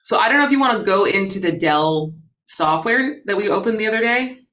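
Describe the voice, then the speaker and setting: Lively American woman hosting talk radio. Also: mid-range voice, a little fast. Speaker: radio show host. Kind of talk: live talk radio, casual banter.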